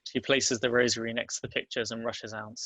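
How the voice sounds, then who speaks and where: A man narrates a screenplay, reading out scene headings and action. His voice is bright and crisp in tone with fairly even pitch, recorded close and dry. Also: fairly quick, no echo, poor audio. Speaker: narrator reading stage directions.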